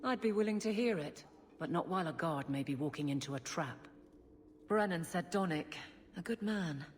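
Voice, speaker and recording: A woman's voice: on the low side, lively intonation, normal speed, long reverb tail, a little hiss or hum, lightly acted. A woman playing a principled, no-nonsense city guard in a video game, blunt and resolute.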